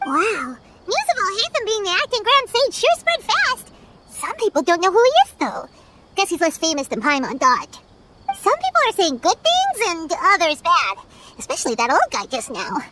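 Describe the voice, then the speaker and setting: A woman voicing a tiny, chatty, curious childlike girl companion in a video game, with a squeaky voice. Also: huge pitch swings, moderate pace, background noise, exaggerated voice. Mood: chatty, concerned.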